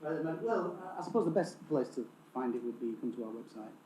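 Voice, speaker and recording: A man's voice: mid-range voice, sing-song, fast talker, warm tone. A man from Lancashire, a band member chatting casually in an interview, hesitant and wry, in an echoey, slightly dull recording. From Lancashire, England.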